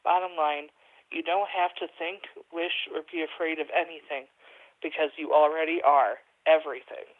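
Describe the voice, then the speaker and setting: A man calling in to a radio show, talking hesitantly with many fillers and reading his own poem softly. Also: a little high, fairly even intonation, normal speed. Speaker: caller, poet. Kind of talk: casual phone chat and poetry reading. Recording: tinny phone line.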